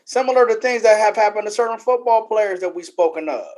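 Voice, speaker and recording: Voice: mid-range voice, quick pace, darkish tone. An American podcast co-host giving opinions in casual panel talk, speaking emphatically and often repeating phrases for weight.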